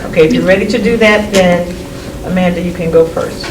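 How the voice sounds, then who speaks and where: A woman's voice: lowish voice, fairly even intonation, fairly quick. A female college instructor leading a class discussion, giving brief prompts and calling on students by name. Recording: echoey classroom from far off with noise.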